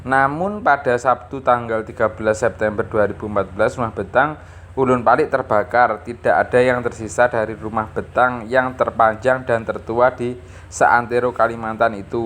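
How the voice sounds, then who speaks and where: Male teacher, medium pitch, textbook read-aloud, didactic phrasing with frequent check-in tags, slight background noise. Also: fairly even intonation, normal speed, fairly bright tone. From East Java, Indonesia. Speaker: school teacher. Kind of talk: lesson reading from a textbook with explanations.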